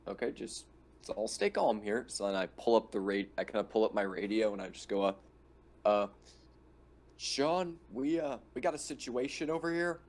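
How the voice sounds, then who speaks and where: A man's voice: on the low side, normal speed. A man playing a tabletop role-playing game, casually describing and acting out his character's lines.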